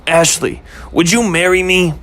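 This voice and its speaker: A man's voice: high-pitched, steady tone, a little slow, fairly bright tone. An actor playing a troubled young man who speaks earnestly and hesitantly about his worries. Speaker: boyfriend in second-person story.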